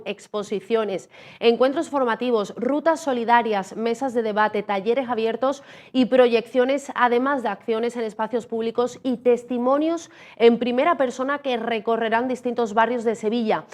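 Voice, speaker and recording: A woman reading the local news as anchor in a measured, formal way. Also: on the high side. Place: TV studio.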